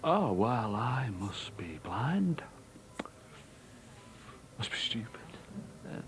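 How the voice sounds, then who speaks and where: Brief: actor as a jealous husband, sarcastic and threatening, voice leaping up, faint ambience. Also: male voice, mid-range voice, very animated, brisk, noisy background, lightly acted. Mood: stunned. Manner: blunt, sarcastic, aggressive. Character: middle-aged husband Jimmy, blunt and jealous.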